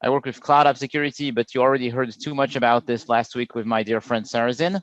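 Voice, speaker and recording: A middle-aged Frenchman speaks in easy, filler-laden explanation, his accent clear through the chat. The sound is dry and close, with a slightly muffled, lo-fi edge from a remote call mic. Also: mid-range voice, quick pace, no echo. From France. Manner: explanatory, relaxed, many "uh" fillers. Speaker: guest product manager. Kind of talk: casual podcast chat.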